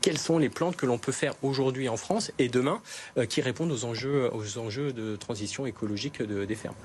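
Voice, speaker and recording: A man's voice: mid-range voice, brisk, slight background noise. A man, a farmer, explaining his crops in an interview, earnest, with many hesitations and restarts. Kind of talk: interview answers.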